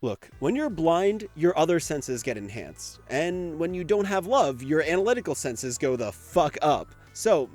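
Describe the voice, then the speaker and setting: A man's voice: on the high side, big pitch swings, a little slow, hardly any pauses, darkish tone, soft background music. A man giving casual scripted commentary for a video essay, in an informal, conversational way with the odd swear word.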